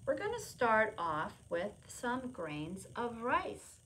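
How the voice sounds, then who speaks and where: Animated woman presenting a preschool storytime. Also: on the high side, slow pace, slight background noise. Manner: simple repetitive explanations with questions and prompts for small children; refers to self in third person. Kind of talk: children's lesson, demonstrating to young viewers at home.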